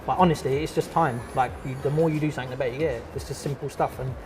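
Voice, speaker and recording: A man's voice: mid-range voice, rapid-fire, background noise. Chatty man, easygoing. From London. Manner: relaxed and chatty, full of fillers and restarts. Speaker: artist and art teacher. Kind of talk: casual interview chat.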